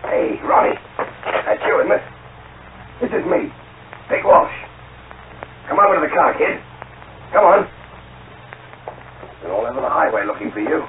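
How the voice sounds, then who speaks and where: An actor playing a sneering, bullying American gangster in a tough, slangy 1940s radio crime drama style. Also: male voice, medium pitch, sing-song, normal speed, pauses often. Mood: urgent, coaxing. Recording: tinny, noisy old radio recording.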